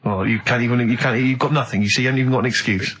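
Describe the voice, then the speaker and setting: A man's voice: mid-range voice, fairly even intonation, racing, a bit bright, a little hiss or hum. A man in casual comic radio banter, pedantic and mock-indignant. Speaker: radio show co-host.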